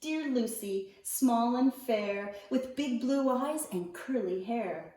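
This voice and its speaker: A woman reading a picture book aloud to young children, expressively, with deliberate phrasing and drawn-out key words. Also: on the high side, lively intonation, darkish tone. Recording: roomy echo, distant mic.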